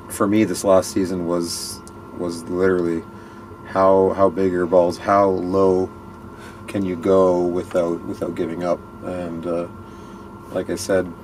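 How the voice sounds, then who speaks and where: A man's voice: sits low, darkish tone, slight background noise, rough recording. A man in his thirties, a ski racer being interviewed in a vehicle, talking earnestly in a rambling way with many restarts. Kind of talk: casual interview chat. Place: inside a small parked vehicle at night.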